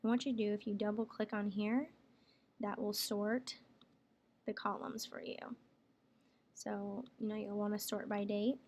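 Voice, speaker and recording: A woman working as a software trainer, explaining each step plainly in a casual screen tutorial. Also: mid-range voice, steady tone, measured pace, pauses often. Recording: clear background noise, room ambience.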